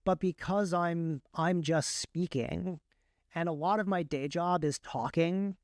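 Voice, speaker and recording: Higher-pitched man, clear and dry. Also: slow, no echo. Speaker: guest, indie author and audiobook narrator. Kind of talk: casual interview talk, explaining a process.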